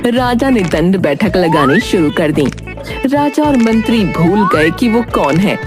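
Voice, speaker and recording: A woman's voice: mid-range voice, animated, unhurried. A woman narrating a children's cartoon story from a script. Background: music.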